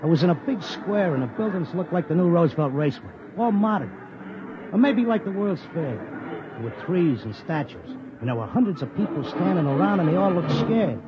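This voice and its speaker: A man's voice: on the high side, animated, brisk, lightly acted. A man playing a streetwise, cynical racetrack clocker who narrates with wisecracking slang in a 1950s radio drama. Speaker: narrator and lead character. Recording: dull 1950s radio recording with music.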